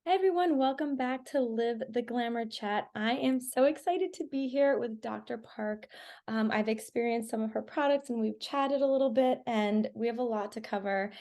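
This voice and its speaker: A woman's voice: fairly high pitch, moderate pace, darkish tone. A woman hosting a chat show, talking casually and enthusiastically with her guest. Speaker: interview show host.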